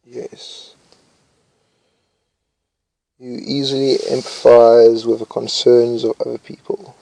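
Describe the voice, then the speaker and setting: Slow, gravelly young man with a flat voice. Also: medium pitch, poor audio. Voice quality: says his voice is loud. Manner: slow, flat, mumbling delivery, thinking aloud with hesitations. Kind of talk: casual commentary while reading test questions aloud.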